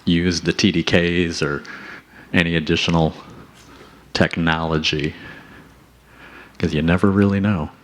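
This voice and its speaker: A man's voice: low voice, little pitch movement, a little slow, pauses often, a bit bright. A man emceeing a hackathon presentation session on a handheld mic, making loose joking asides. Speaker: event emcee. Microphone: handheld microphone.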